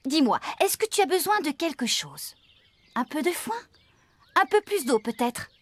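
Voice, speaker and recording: An actress playing a caring, chatty farmer woman in a children's cartoon, talking in an expressive, coaxing way. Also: high voice, moderate pace, no room sound. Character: caring, chatty, good-humoured farmer woman tending animals.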